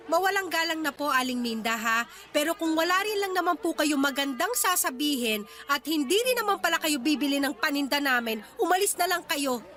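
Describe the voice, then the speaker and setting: A woman playing a feisty young market fish vendor in a Tagalog radio drama, persuasive and quick to talk back. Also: high voice, expressive, sharp tone. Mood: indignant.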